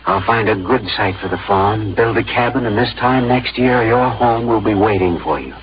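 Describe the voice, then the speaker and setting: An actor playing an earnest, devoted young frontier farmer in theatrical 1950s radio drama style. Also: male voice, on the low side, moderate pace, close to an everyday voice. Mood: tender. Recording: muffled old radio broadcast with music.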